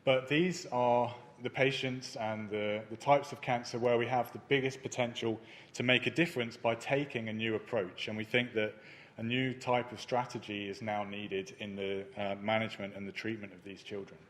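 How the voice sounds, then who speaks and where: A man presenting his research at a conference, speaking in a measured way with frequent ums and uhs. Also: lowish voice, steady tone. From not Germany. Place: conference hall.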